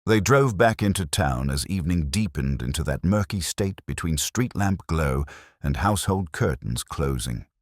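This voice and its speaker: Male narrator for genteel detective audiobook: very deep, measured, lively intonation, close dry sound. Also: no room sound.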